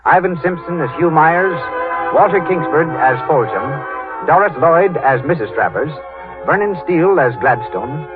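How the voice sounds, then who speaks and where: 1930s radio announcer over music. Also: male voice, a little high, narrow, tinny audio. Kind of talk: scripted 1930s radio announcing, reading credits.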